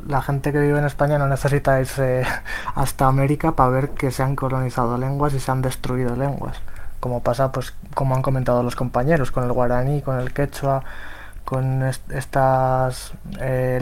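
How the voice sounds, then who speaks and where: Man from Spain, flat delivery, hesitant panel speaker on a call. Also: medium pitch, slight background noise. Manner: flat, hesitant, many restarts. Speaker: volunteer software translator. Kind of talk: remote panel talk.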